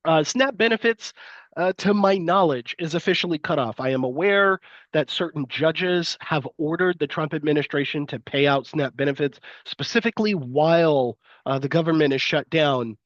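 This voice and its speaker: Male lecturer, sniffly from a cold, halting delivery, close and dry, a little dull in tone. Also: on the high side, no echo. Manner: hesitant, many fillers and restarts. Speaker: university lecturer. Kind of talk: live-streamed lecture.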